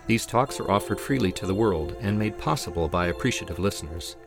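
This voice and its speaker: A man reading a scripted welcome for a talk series in a measured, even way. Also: on the low side, over music. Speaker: show announcer. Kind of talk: scripted intro read. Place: studio, music underneath.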